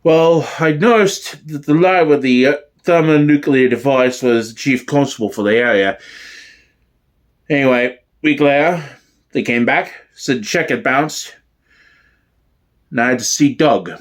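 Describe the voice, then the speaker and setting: A man playing a frightened witness in a mock-documentary sketch, halting and full of dread as he recalls gangsters. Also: medium pitch, natural voice. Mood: matter-of-fact. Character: fearful male crime witness recalling gangster brothers.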